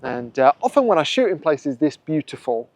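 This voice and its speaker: A man hosting his own photography channel, thinking aloud in a relaxed, musing way as he works a scene outdoors on a windy coast. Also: on the high side, normal speed. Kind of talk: casual vlog narration on location.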